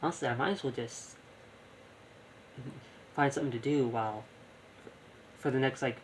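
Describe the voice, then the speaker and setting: A man's voice: mid-range voice, moderate pace, a little hiss or hum. A young man giving casual live gameplay commentary, rambling and thinking aloud. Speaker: gaming streamer. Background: game music.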